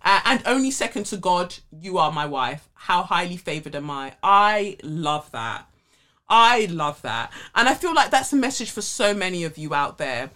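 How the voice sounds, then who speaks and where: A woman's voice: lowish voice, big pitch swings, normal speed, fairly bright tone. A woman in her thirties with a British accent, co-hosting a podcast in casual banter. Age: 30s. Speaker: podcast co-host.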